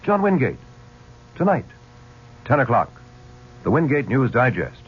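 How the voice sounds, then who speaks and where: A man's voice: medium pitch, sing-song, measured pace, very noisy. A man reading a news promo as a radio host, in a punchy, emphatic style. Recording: muffled 1970s radio broadcast.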